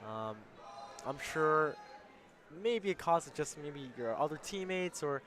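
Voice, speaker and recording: A man's voice: fairly high pitch, lively intonation, a little slow, a bit bright, a little hiss or hum. A man interviewing casually at an esports event, his voice a little worn from losing it. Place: large indoor gaming event venue.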